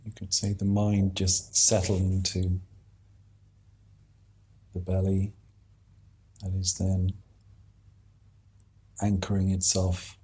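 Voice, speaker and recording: A man leading a guided meditation as a teacher, speaking in an unhurried, halting way with long pauses. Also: low voice, completely flat delivery, dark tone, slightly rough, poor audio.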